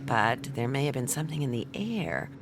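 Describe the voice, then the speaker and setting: An actress playing a cool, tough sci-fi detective in an audio drama, dry and laconic. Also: female voice, a bass voice, big pitch swings, fairly quick, gravelly, background noise, exaggerated voice. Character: cool, tough female sci-fi detective narrating her adventure. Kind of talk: scripted first-person audio drama narration.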